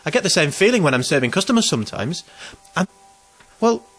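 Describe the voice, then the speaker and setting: A man's voice: on the high side, big pitch swings, a little fast, fairly bright tone, faint music behind. An actor playing an anxious, self-pitying man in his twenties, hesitant and rambling, in an audio drama. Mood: uneasy, confiding. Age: 20s.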